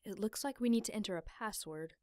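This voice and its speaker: A woman's voice: mid-range voice, fast, a little mellow, no room sound, lightly acted. A woman voicing a cool, self-assured woman with dry, teasing wit in a game fan dub.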